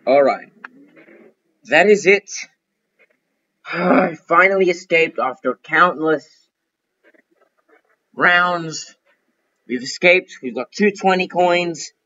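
Male gaming host, bright and forceful, casual commentary, slightly lo-fi home recording. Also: fairly high pitch, slow pace, pauses often.

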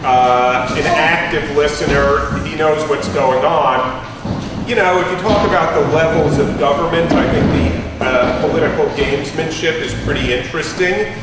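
A man's voice: a little high, moderate pace. A man in his thirties talks through his points on a panel, wry and analytical, with lots of fillers. He sounds far from the mic in a very echoey room with noticeable background noise. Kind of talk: panel discussion talk. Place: large room with panel table.

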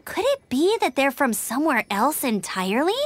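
A woman's voice: high-pitched, big pitch swings, moderate pace, no echo. A woman voicing an inquisitive, bookish young female scout in a video game. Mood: musing.

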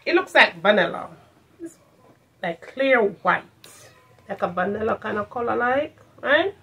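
A woman's voice: medium pitch, big pitch swings, a little slow, vocal fry, husky, lo-fi sound. A middle-aged woman with a Jamaican accent reviewing products in a casual vlog, slipping into patois and repeating phrases for emphasis. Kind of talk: casual chat to camera, shopping haul review. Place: furnished room at home, indoors.

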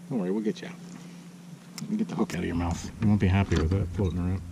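Excitable man fishing from a kayak. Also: low-pitched, rapid-fire, creaky. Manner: excited exclamations and muttered asides. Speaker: fishing vlogger. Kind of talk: casual fishing commentary. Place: kayak on a lake outdoors. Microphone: action camera mounted on the kayak. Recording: dull outdoor action-camera audio with wind and water noise.

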